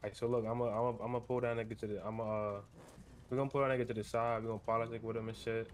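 A man's voice: mid-range voice, level tone, very fast, a little hiss or hum. A man chatting in heavy street slang during online role-play, often repeating himself. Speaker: gamer role-playing a gang member online. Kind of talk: casual in-game street-slang chat.

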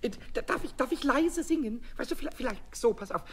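A man voicing a cheeky, childlike little kobold with a high, squawky, creaky voice, nagging and rhyming in an excitable sing-song for a children's radio play. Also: a little fast, full character voice. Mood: chatty, pleading.

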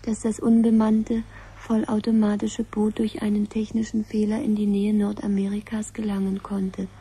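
An actress playing an impersonal announcer, reading an official crisis report in a detached, formal way in a 1970s radio drama. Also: female voice, medium pitch, completely flat delivery, moderate pace, warm tone, breathy edge, rough recording. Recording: 1970s radio production, faint background noise.

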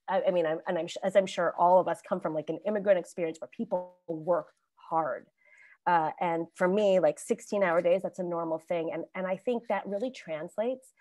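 A woman on a video call panel telling stories about her acting career in a chatty, animated way, laughing often and restarting sentences. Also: fairly low pitch, moderate pace. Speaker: alumni panelist, actor.

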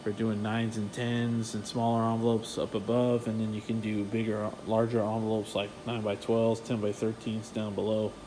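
A man gives a relaxed tour of his print shop, explaining machines with plenty of "uh"s and "um"s in an even, level tone. His voice comes from a handheld phone as he walks through offices and a warehouse. A clear air-conditioning hum runs underneath. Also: fairly low pitch, normal speed, few pauses. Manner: relaxed, technical, many fillers. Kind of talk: casual walkthrough tour. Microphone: handheld phone camera, filming while walking.

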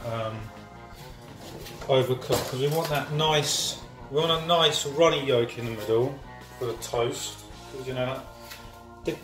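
Chatty young man in a kitchen. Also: medium pitch. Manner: chatty, jokey, rambling asides. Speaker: home cooking vlogger. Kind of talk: casual cooking vlog. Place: home kitchen. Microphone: handheld phone camera.

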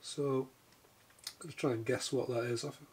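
A middle-aged man with a croaky voice from a cold, chatting casually as he repairs gear at his workbench. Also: medium pitch, steady tone, moderate pace, a little mellow. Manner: chatty, thinking aloud while working. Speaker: repair video host. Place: home workshop bench.

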